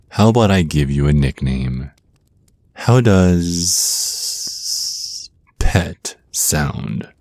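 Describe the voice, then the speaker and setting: Male actor as menacing, teasing vampire naga overlord for ASMR roleplay: extremely deep, unhurried, flirtatiously smug. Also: darkish tone, no echo, exaggerated voice. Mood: flirty, condescending. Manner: smug, teasing, slow deliberate menace with drawn-out words.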